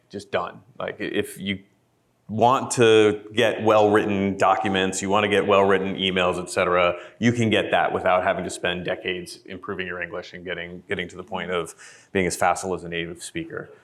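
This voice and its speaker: A man speaking thoughtfully as a panelist, measured and reflective. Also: deep voice, flat delivery, fairly bright tone. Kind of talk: panel discussion.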